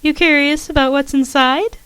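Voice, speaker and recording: A playful young college girl who likes to tease her roommate, her voice lifted a little higher and brighter than the reader's own as a woman reads her lines. She sounds upbeat and friendly, recorded close and dry. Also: fairly even intonation, moderate pace, no room sound. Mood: teasing.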